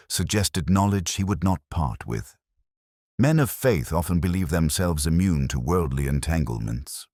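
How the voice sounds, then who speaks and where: A man acts an erudite, brooding detective of older years in an audio drama. His pitch is extremely deep, yet it rises and falls expressively through solemn, literary narration. The sound is dry, with no room around it. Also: a little mellow, dry recording, full character voice. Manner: literary, measured, solemn, formal. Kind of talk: scripted first-person mystery narration, audio drama.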